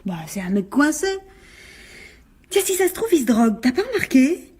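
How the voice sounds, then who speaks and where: An actress in a radio drama playing a brisk, slangy young woman who teases and loses patience easily. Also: high-pitched, very animated, darkish tone, breathy edge, exaggerated voice. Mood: teasing, dismissive. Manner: slangy, teasing, exasperated. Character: brisk, mocking young woman, impatient with her anxious flatmate.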